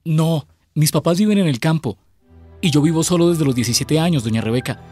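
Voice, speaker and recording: A nervous young boyfriend in his twenties, acted by a man, talks quickly and earnestly with a Colombian accent. It is radio soap acting with faint music behind. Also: a little high, darkish tone, dry recording, close to an everyday voice. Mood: earnest, respectful.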